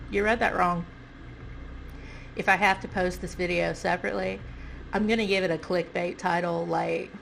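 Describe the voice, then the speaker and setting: A woman's voice: on the low side, creaky, slight background noise. A woman with a stuffy nose giving casual, self-correcting commentary on a video game, thinking aloud as she goes.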